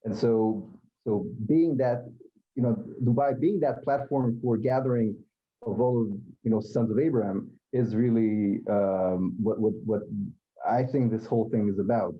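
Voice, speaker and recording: A man's voice: lowish voice, normal speed, muffled recording. A man hosting a community panel, talking with many fillers and restarts. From Israel. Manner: hesitant, many fillers and repeated words. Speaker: event host. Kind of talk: moderated panel talk.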